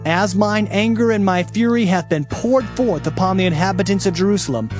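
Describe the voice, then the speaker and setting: Male actor as scripture-drama prophet: high voice, solemn and emphatic, impassioned warnings, music behind. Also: brisk, natural voice. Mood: stern warning. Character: stern Hebrew prophet delivering God's warnings, impassioned.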